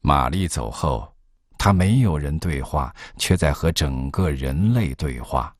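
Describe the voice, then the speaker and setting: A man narrating a documentary in a calm, measured scripted voice-over. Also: extremely low pitch, breathy edge. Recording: voice-over under loud music.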